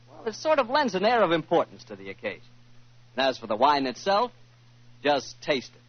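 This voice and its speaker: Male forties radio host: polished sponsor-pitch delivery, very animated intonation, dull old broadcast recording. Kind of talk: 1940s scripted radio announcing and sponsor pitch.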